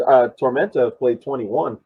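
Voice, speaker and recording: A man giving casual match analysis as a podcast panelist, with halting, repetitive phrasing. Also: medium pitch, slightly rough. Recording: muffled, dull audio.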